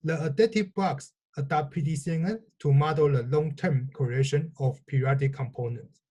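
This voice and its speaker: Male research presenter, fairly high voice, scripted and measured, explaining technical material step by step. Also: warm tone. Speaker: researcher presenting own work.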